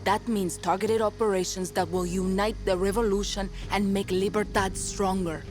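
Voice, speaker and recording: A woman plays a commanding revolutionary leader in a video game. Her mid-pitched voice is firm, earnest and purposeful, setting out orders in a measured way with music behind her. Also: little pitch movement, natural voice. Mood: determined, grave. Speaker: rebel leader giving a mission briefing. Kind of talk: video game character acting.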